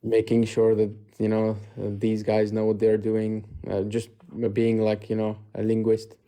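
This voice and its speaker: A man's voice: on the low side, monotone, unhurried, warm tone. A man in his twenties from Afghanistan, a livestream guest on audio only, chatting casually with many fillers and restarts. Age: 20s. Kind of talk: casual chat. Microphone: audio only, camera off.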